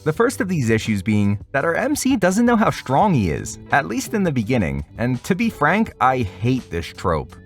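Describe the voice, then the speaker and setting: A man's voice: mid-range voice, wildly swooping pitch, normal speed, hardly any pauses, faint music behind. A man narrating a scripted review as host, with casual, wry and sarcastic asides.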